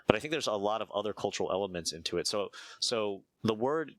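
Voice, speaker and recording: Interview guest: American man with a very deep voice and a dry, close sound. Measured and thoughtful, he thinks out loud. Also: lively intonation, no room sound. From United States. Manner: measured, thinks aloud with frequent "uh" and "right?". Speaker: interview guest, martial arts teacher. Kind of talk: reflective conversational explanation.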